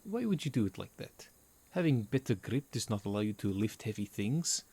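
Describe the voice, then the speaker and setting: A man's voice: on the low side, big pitch swings, moderate pace, mellow, husky, full character voice. A man playing a stern, pedantic half-vampire professor with an Albanian accent and a formal, exasperated manner. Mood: lecturing. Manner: formal, pedantic, article-dropping speech.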